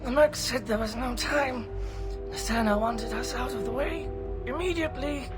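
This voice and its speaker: An actress playing a badly wounded, frightened night-shift worker in a video game, strained and halting with pain. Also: female voice, fairly high pitch, lightly acted. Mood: horrified, pained. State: in pain, gasping, coughing. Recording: loud game music behind.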